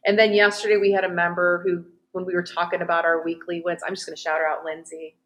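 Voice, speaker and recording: A woman's voice: lowish voice, level tone, fast, a bit bright, rough recording. A woman in her forties talking effusively in a casual conversation as a fitness coach. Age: 40s.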